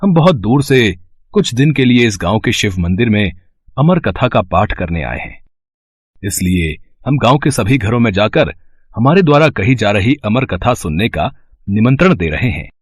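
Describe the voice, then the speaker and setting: A man voicing a pious, fatherly wandering Brahmin in a moral-story cartoon, speaking formally and with measured solemnity. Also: on the low side, very animated, husky. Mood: pious, kindly.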